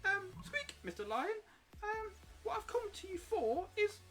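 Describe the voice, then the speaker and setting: A man voicing a tiny, meek but hopeful storybook mouse with a squeaky, peeping voice and polite manner. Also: big pitch swings, unhurried, fairly bright tone, heavily acted. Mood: timid, polite. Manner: hesitant, polite.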